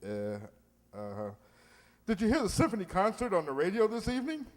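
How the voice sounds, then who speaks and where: A man's voice: sits high, huge pitch swings, a little fast, a little mellow, long reverb tail. A man playing a pompous, bashful, flustered middle-aged suitor in old-time radio comedy style, blustery and hesitant.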